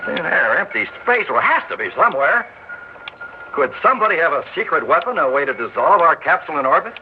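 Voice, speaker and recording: A blustering army general. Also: male voice, a little high, sing-song, fast talker. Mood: alarmed. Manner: barking orders, brusque. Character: commanding male army general, gruff and blustering. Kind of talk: 1960s radio drama acting. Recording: tinny old radio broadcast with hiss.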